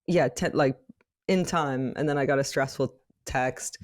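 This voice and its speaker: Woman cohost, really low voice, groggy from just waking up and crying, rambly jokes. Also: measured pace. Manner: rambling, self-deprecating humor. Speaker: podcast co-host. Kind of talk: casual chat.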